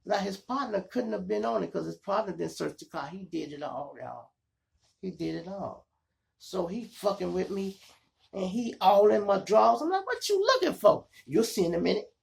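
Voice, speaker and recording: A man with a Chicago accent and a gravelly voice, telling street stories casually to his viewers in slangy, profane talk. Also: high-pitched, normal speed. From Chicago, United States. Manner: animated retelling, slangy, profane, acts out dialogue and sound effects. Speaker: storytelling podcast host.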